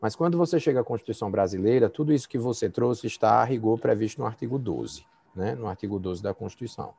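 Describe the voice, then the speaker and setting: A man's voice: on the low side, fast talker, vocal fry, dark tone, husky, no room sound. A Brazilian man, a law professor, giving a conversational university lecture and feedback over a video call, with frequent fillers and restarts.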